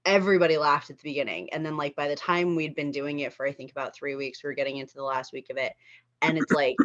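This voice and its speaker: A woman with a low voice talks casually with a group. She is giggly, often laughing through her words. The audio is dull and lo-fi. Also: fairly even intonation, fast talker, a bit bright. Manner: giggly, laughs while talking. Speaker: table read cast member.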